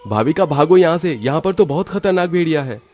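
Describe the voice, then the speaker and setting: A man's voice: on the high side, lively intonation, a little fast, darkish tone, a little hiss or hum, lightly acted. A man voicing a cautious, protective young father and husband in a moral-story cartoon. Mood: alarmed.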